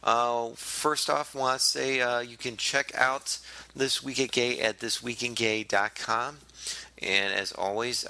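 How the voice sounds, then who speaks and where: A young adult man hosting a podcast, hoarse and raspy, talking in a casual, rambling, self-mocking way. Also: medium pitch, sharp tone. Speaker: podcast host.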